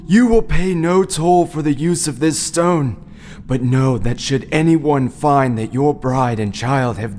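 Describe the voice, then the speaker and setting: An actor playing a grave, misshapen alchemist in a fairytale audio play, speaking solemnly and portentously. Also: male voice, mid-range voice, darkish tone, a little hiss or hum, lightly acted. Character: grave misshapen male alchemist, ominous messenger of truth. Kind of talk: fairytale audio drama acting.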